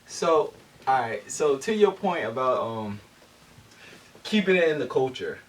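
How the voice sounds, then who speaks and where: A man's voice: mid-range voice, big pitch swings, unhurried, distant mic. A man with Jamaican heritage co-hosting a casual group discussion, building his points through questions. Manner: thoughtful, builds arguments with questions. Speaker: podcast co-host.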